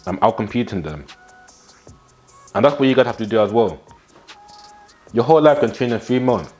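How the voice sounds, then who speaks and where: A man's voice: fairly low pitch, animated, normal speed, darkish tone, faint music behind. A nineteen-year-old man, talking straight to camera with blunt, slangy motivation and repeated catchphrases, filmed in a small room at home. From England. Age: teens. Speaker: fitness and self-improvement vlogger.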